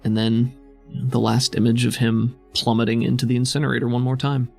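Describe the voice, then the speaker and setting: A man's voice: mid-range voice, flat delivery, fairly quick, gravelly, a little mellow, soft background music. A man narrating a tabletop game as game master in a dramatic storytelling style.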